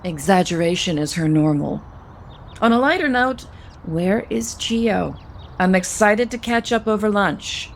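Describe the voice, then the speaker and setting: A woman's voice: mid-range voice, expressive, a little slow, slight background noise. An actress playing a protective, meddling, middle-aged mother of a grown son in a naturalistic audio soap opera. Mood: pointed, coaxing.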